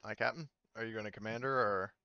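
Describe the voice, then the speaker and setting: A man working as game master, narrating casually in a halting, loose, conversational way over home voice-chat audio. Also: on the low side, steady tone, pushed and bright, smooth, no room sound, muffled recording. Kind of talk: casual narration of an online tabletop roleplaying game.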